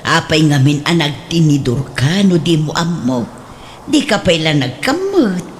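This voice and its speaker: A woman's voice: low-pitched, big pitch swings, a little slow, a little hiss or hum. An actress playing a doting, nosy mother of a grown son in an Ilocano comedy radio drama, with a Filipino Ilocano accent. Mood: puzzled, scolding.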